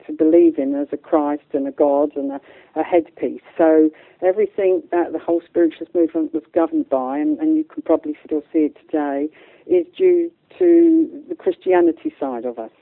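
A woman's voice: deep voice, sing-song, normal speed, no echo. An older woman, chatting in a rambling, colloquial way as she tells her life story as an interview guest. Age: middle-aged or older. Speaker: spiritual medium, interview guest. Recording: thin phone-like band-limited line.

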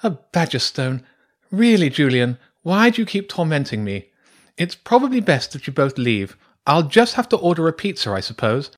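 A man's voice: on the high side, very animated, moderate pace, pitched above the performer's own voice. A man voicing a haughty, eccentric female badger priestess in a children's audiobook, theatrical and exclamatory in manner. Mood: peevish, hungry.

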